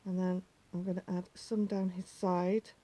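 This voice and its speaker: A woman with a slightly croaky voice, patiently talking viewers through a craft step by step and often dropping to a near whisper. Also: lowish voice, little pitch movement, measured pace, mellow. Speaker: needle felting teacher. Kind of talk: hands-on craft tutorial.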